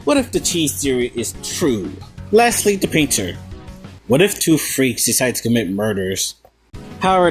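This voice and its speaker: A man giving informal video review commentary in a sarcastic, casually sweary manner. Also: mid-range voice. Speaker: video essayist. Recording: background music under voice. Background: music.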